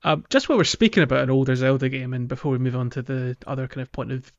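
A man's voice: medium pitch, racing. A man chatting casually as a host of a gaming podcast, through a slightly dull microphone.

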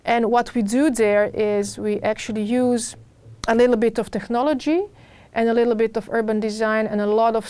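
A middle-aged woman with a Serbian accent answering interview questions about her work, often pausing and repeating words as she searches for phrasing. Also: fairly high pitch, a little mellow. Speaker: podcast guest, urban designer.

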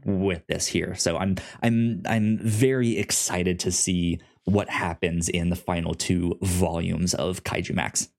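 Podcast co-host, young man, lowish voice, halting delivery full of restarts. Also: fairly even intonation, creaky, a little mellow. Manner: halting, many restarts and fillers. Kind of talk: casual comic-book discussion.

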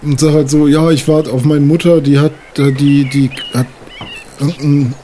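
A man's voice: on the high side, level tone, moderate pace, warm tone. A man playing a tabletop role-playing game casually, improvising and often repeating his words hesitantly. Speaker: role-play podcast player. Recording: slightly noisy room recording.

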